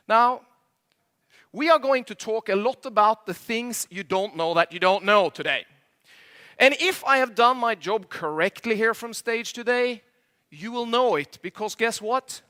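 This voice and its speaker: Norwegian-accented man, middle-aged, high and bright, joking stage speaker. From Norway. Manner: jokey, quizzes the audience, slightly imperfect English. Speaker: conference speaker. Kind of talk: stage presentation with audience banter. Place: conference stage before a live audience.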